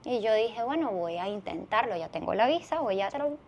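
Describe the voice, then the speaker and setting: A woman's voice: a little high, lively intonation, moderate pace, a little mellow, slight background noise. A woman in her thirties with a Venezuelan accent, telling a personal story conversationally as a podcast guest.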